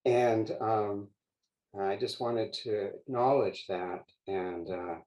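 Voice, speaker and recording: An older man, a poet guest, speaking in a measured, halting way on a video call from a home study lined with books. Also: lowish voice, steady tone, a little mellow, highs cut off.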